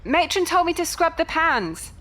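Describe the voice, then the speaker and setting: An actress playing a young, sardonic Victorian nurse with a wry, chatty manner in an audio drama. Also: female voice, very high-pitched, very animated, sharp tone, heavily acted. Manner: wry, conversational, quick to grumble.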